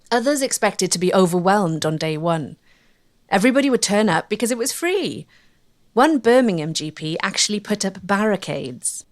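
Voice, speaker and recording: A woman narrating a history documentary from a script. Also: mid-range voice.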